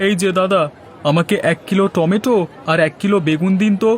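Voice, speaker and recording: A man's voice: high-pitched, fairly quick, a faint crowd, slight background noise, lightly acted. A man playing a fussy, thrifty market customer in a Bengali cartoon, exclaiming as he haggles. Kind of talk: Bengali moral-story cartoon dubbing.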